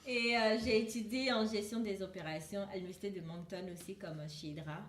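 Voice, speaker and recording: A woman's voice: mid-range voice, steady tone, a little fast. Easygoing young woman in an echoey room. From Côte d'Ivoire. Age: young adult. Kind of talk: casual chat.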